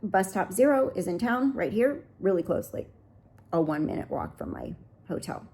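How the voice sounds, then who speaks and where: A woman's voice: medium pitch, lively intonation, normal speed, vocal fry, warm tone. An American woman in her fifties talking casually to camera while travelling, rambling and correcting herself as she goes. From United States. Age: 50s. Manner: chatty, rambling, self-correcting. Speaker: travel vlogger. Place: outdoors and indoors on a Greek island.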